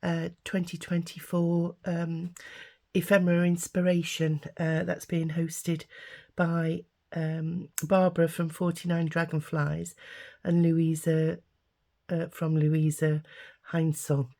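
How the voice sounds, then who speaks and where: Woman, hoarse and gravelly from a cold, low flat voice, slow hesitant crafting chat. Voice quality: gruff, gravelly. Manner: hesitant, many ums and restarts, mutters while working. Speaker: craft channel host. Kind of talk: casual crafting tutorial.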